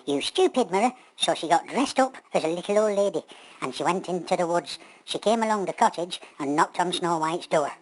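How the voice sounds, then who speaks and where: A woman, telling a children's pantomime story in an animated, chatty, colloquial way, speaking straight to her listeners. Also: low voice, poor audio.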